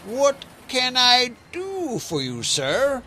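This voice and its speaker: An actor playing a shifty farmer villain in a family mystery audio drama, smarmy and evasively polite. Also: male voice, very high voice, wildly swooping pitch, takes it slowly, pushed and bright, a little hiss or hum, heavily acted.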